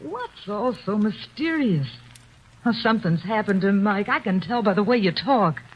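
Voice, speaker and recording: A woman's voice: medium pitch, lively intonation, normal speed, slight background noise, natural voice. An actress playing a wary, anxious, streetwise young woman in a 1940s radio play, on a dull old radio recording. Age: young adult.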